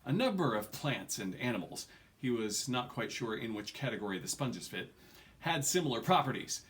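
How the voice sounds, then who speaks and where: A man's voice: medium pitch, sing-song, normal speed, fairly bright tone. A middle-aged man reading a fantasy novel aloud at home, expressively, stressing key words. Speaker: amateur book reader. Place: small furnished room at home.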